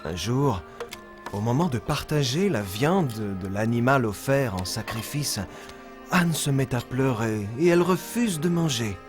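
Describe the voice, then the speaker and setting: Male narrator for a children's Bible cartoon, animated intonation, medium pitch, faint music behind. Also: a little slow. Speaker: storyteller.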